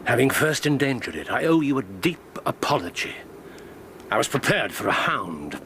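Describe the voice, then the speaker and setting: An actor with an English accent playing a driven, commanding detective in a radio drama, urgent and clipped in manner. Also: male voice, medium pitch, big pitch swings, normal speed, a little hiss or hum. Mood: grave, resolute. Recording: radio drama with loud sound effects behind.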